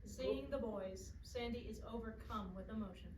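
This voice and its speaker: A woman's voice: a little high, moderate pace. A woman reading scripted stage directions as narrator at a staged play reading. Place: performance space, recorded from afar. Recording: echoey distant recording, loud background noise.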